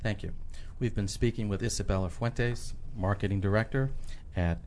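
A man hosting a short business radio segment, asking brisk, scripted questions. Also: fairly low pitch, a little mellow, a little hiss or hum.

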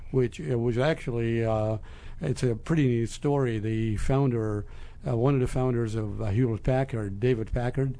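Male interview guest, fifties, from Lebanon, creaky voice, soft dark tone, hesitant careful phrasing. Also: medium pitch, fairly even intonation. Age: 50s. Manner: halting, measured phrasing. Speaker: interview guest, business executive. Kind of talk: conversational interview answers.

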